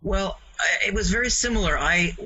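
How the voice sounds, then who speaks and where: A man in his sixties with a Canadian accent and a fairly high, bright, husky voice. He chats as an interview guest, wandering and correcting himself as he remembers. The audio is a little muffled. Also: moderate pace. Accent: English-speaking Canadian. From Montreal, Canada. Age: 60s. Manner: rambling, self-correcting. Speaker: interview guest, comics author. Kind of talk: casual reminiscing interview.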